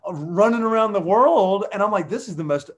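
Excitable high-voiced podcast host. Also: male voice, brisk. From US South. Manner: excitable, loud bursts, Southern slang like y'all. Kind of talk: casual podcast chat and sponsor read.

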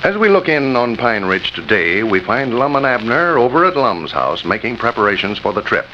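A dull, noisy vintage radio recording of a male announcer. His delivery is lively and melodic, folksy yet measured, as he persuades in the scripted style of a 1930s sponsor spot. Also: medium pitch.

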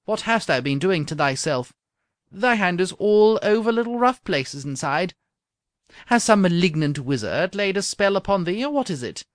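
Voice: medium pitch, big pitch swings, normal speed, no room sound, studio quality, pitched above the performer's own voice, lightly acted. An audiobook reader voicing a lovestruck young king who talks in flowery, old-fashioned phrases. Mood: excited.

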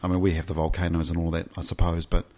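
A man from New Zealand with a Kiwi accent, a documentary maker talking as a guest in a casual chat, measured and reflective. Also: low-pitched, monotone, darkish tone.

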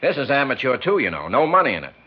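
A smooth-talking, pushy sports manager in a sixties radio play. His mid-pitched voice swings in a lively way as he makes his folksy sales pitch. The recording is muffled, with faint noise. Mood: businesslike. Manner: brisk, persuasive, folksy salesman. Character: slick, pushy male sports manager and promoter. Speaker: radio drama actor. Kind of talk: 1960s radio acting.

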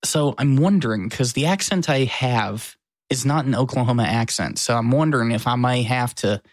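A man's voice: mid-range voice, moderate pace, no echo, studio quality. An American man co-hosting a podcast in casual, wry and self-deprecating conversation. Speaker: podcast co-host. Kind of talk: casual chat.

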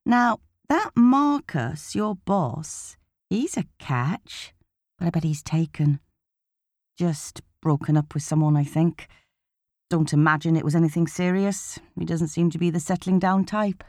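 A single woman nearing forty, weary but wry and chatty as a female audiobook reader plays her. Her tone is soft and dark. The sound is dry, without room echo. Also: fairly low pitch, a little slow. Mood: chatty, teasing.

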